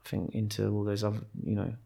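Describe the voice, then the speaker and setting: A man born in Kenya, talking as a podcast guest about growing up. His voice sits a little low. He speaks reflectively, pausing and restarting often. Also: level tone, creaky, warm tone, breathy edge. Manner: hesitant, many restarts and fillers. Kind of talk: reflective conversational storytelling.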